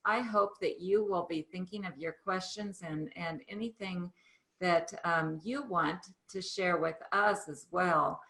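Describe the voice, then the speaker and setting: A woman hosting a conference call, introducing things informally in a halting way with frequent pauses. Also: fairly low pitch, a bit bright, reverberant, poor audio. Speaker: host of a monthly conference call. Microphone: remote conference call.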